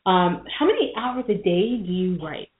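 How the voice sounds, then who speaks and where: A woman's voice: fairly low pitch, lively intonation, moderate pace. A woman hosting a casual interview in an informal, enthusiastic way over a roomy, tinny connection. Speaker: interview host.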